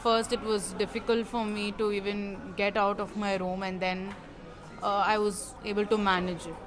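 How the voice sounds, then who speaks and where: A young woman from India answering an interview in a measured way. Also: medium pitch, flat delivery. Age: young adult. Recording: busy crowd behind.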